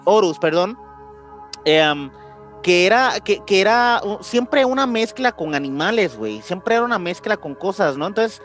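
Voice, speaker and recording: A man's voice: high-pitched, lively intonation, normal speed, a bit bright, soft background music. A man with a Mexican accent chatting casually on a podcast in slangy speech, repeating words as he thinks aloud. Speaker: podcast co-host. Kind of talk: casual conspiracy podcast chat.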